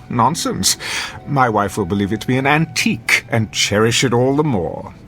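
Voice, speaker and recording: An actor playing an arrogant, cynical drama critic in a radio drama, haughty and articulate. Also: male voice, medium pitch, expressive, moderate pace, fairly bright tone, faint music behind, close to an everyday voice. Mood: droll, condescending.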